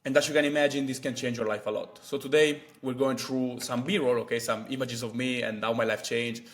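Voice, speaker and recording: A man's voice: medium pitch, steady tone, a little fast. Chatty young man vlogging. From Italy. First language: Italian. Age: 20s. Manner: chatty, many fillers and asides. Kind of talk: casual talk to camera.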